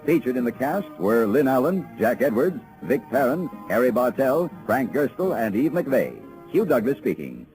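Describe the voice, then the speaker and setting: A man's voice: medium pitch, sing-song, normal speed, hardly any pauses, a little mellow, faint music behind, rough recording. A man announcing scripted credits in the style of a 1950s radio program.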